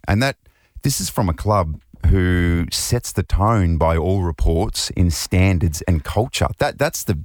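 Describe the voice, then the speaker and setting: A man's voice: sits low, darkish tone, no echo, clean studio sound. An Australian man from New South Wales co-hosting a radio show, chatting casually with frequent fillers and restarts. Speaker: radio co-host.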